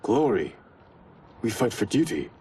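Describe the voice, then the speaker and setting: A man's voice: lowish voice, sing-song, measured pace, mellow, slight background noise. A man playing an earnest warrior devoted to clan tradition in a video game, speaking insistently. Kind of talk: video game cutscene acting.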